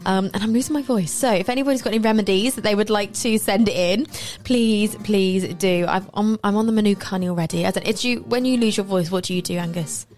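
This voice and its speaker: A woman's voice: medium pitch, fast talker, hardly any pauses, darkish tone. A woman hosting a casual radio interview, chatty and laughing often, her voice slightly worn. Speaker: radio show host.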